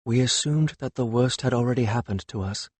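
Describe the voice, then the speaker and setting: Male reader as young royal sister, bitter, hushed, fantasy audiobook, close dry sound. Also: mid-range voice, brisk, husky, no room sound, natural voice.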